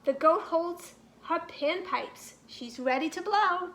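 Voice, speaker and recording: A woman with a high, bright voice reads a children's storybook aloud. She phrases each line deliberately and with animated emphasis, as a teacher does for a class. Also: slight background noise. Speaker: teacher reading a picture book aloud.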